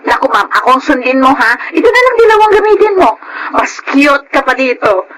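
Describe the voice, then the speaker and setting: A very high, swooping Filipino female voice a sly housemaid in a radio drama. She is brash and wheedling, always pushing to get her way. There is some noise on the recording. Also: big pitch swings, moderate pace, a bit bright, low-quality recording. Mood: pushy. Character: sly, pushy female housemaid, flatterer and schemer.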